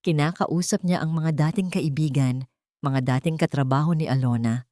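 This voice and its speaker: A woman reads a dramatic story as an audiobook narrator. Her voice sits slightly low and is very mellow, recorded dry and close. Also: moderate pace, no room sound.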